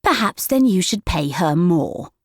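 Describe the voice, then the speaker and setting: A woman's voice: fairly low pitch, sing-song, measured pace, vocal fry, dry recording, full character voice. This is a domineering young witch from a storybook, performed by a woman narrator. She challenges people relentlessly and talks down to them with scorn. The sound is dry and close. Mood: condescending. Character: relentless bossy older sister witch, girl.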